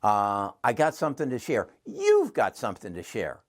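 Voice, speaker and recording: A man's voice: lowish voice, huge pitch swings, normal speed. A man in his seventies hosting his own channel, talking earnestly and rambling with frequent restarts. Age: 70s. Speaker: channel host. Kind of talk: casual talk to camera.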